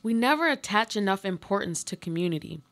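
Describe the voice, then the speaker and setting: A woman's voice: medium pitch, expressive, fairly quick, a bit bright. An actress playing a trapped, injured and resigned woman in a literary radio play, speaking with earnest, poetic delivery. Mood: sombre.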